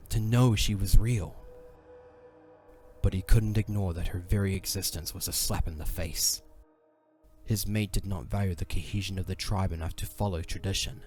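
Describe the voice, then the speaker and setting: A man's voice: low-pitched, darkish tone. A man narrating a dramatic fantasy audio drama in a solemn storyteller's delivery.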